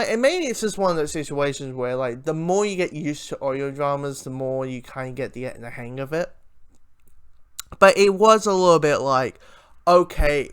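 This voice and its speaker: A man giving a casual, unscripted fan review, rambling and halting with repeated words and false starts. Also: medium pitch, fairly bright tone, smooth.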